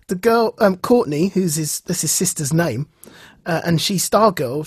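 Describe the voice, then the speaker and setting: A man co-hosting a podcast in a casual, enthusiastic way, telling stories in an animated manner and acting out bits of dialogue. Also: high voice, breathy edge, dry recording, clean studio sound. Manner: animated storytelling, acts out reported dialogue. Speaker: podcast co-host.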